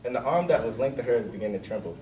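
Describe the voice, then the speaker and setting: A man's voice: medium pitch, animated, quick pace, mellow. A man reading scripted storybook narration in a readers theater performance. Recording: echoey room, distant mic, noisy.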